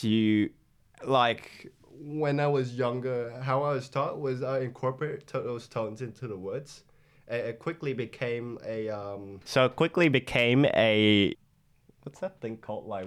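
A man's voice: mid-range voice, a little slow. Hesitant young man. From Vietnam. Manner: hesitant, with many fillers and restarts. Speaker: interview guest. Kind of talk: casual interview chat.

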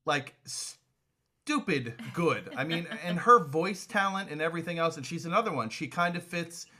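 A man's voice: a little high, lively intonation, moderate pace, pushed and bright. A man hosting a podcast, asking enthusiastic, rambling questions in casual chat. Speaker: podcast host.

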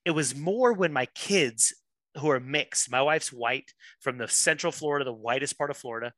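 Bright, crisp-voiced man telling his story. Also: mid-range voice, dry recording. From Miami, USA. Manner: candid, self-deprecating, quick to laugh. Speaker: pastor, podcast guest. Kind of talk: casual interview conversation.

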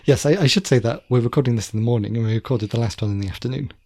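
Podcast co-host, male, gravelly, dry close sound, chatty and self-correcting. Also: mid-range voice, racing, darkish tone, no echo. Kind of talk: casual chat.

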